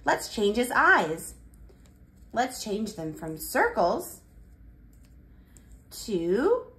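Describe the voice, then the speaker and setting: Animated, slow-paced woman children's presenter. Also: a little high. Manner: exaggerated, simple, child-directed delivery. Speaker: children's educational presenter and storyteller. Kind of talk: toddler lesson and picture-book read-aloud.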